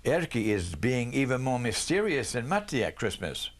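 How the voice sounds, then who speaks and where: A man's voice: mid-range voice, sing-song, moderate pace, voice pushed up. A man reading the part of a caring, busy mother in a storybook, in fussing, motherly questions and exclamations. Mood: pleased.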